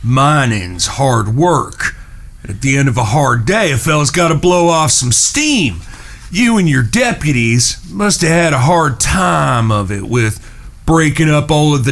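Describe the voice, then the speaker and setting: An actor playing a shrewd, menacing Old West gunslinger, speaking in a deliberate drawl in an audio drama. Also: male voice, on the high side, big pitch swings, a bit bright, exaggerated voice. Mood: knowing, accusing. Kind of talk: western audio drama acting.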